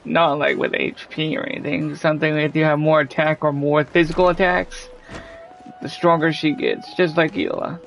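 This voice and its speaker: A man's voice: fairly high pitch, level tone, moderate pace, creaky, fairly bright tone, low-quality recording. A man giving casual, offhand gameplay commentary, musing aloud as he goes. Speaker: gaming video host.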